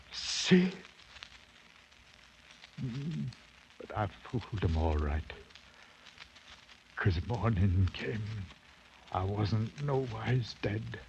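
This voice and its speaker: An actor playing a half-mad British ex-soldier, a haunted survivor, halting and rambling, in 1950s radio drama style. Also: male voice, medium pitch, gravelly, a little mellow, full character voice. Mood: pained, bitter, then weary, sly. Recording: muffled, noisy 1950s radio broadcast.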